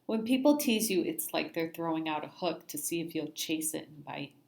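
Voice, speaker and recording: A woman's voice: deep voice, animated, moderate pace, gravelly, darkish tone, echoey room. A woman voicing a kindly, playful grandmother who explains things patiently in a children's story. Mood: gently teaching. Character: kindly, playful grandmother giving gentle advice.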